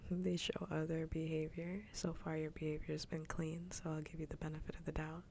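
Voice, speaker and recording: A woman chatting casually on an ASMR livestream in a soft, breathy whisper, teasing playfully and repeating her phrases, close to the microphone. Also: sits low, little pitch movement, a little fast, a little hiss or hum. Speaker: livestream host.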